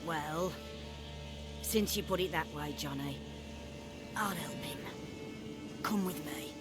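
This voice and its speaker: A woman's voice: sits low, slow pace, loud music behind the voice. A woman playing a stern, protective old village grandmother in a fantasy video game, brooding and a little eerie. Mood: reluctant. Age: older. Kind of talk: video game character acting.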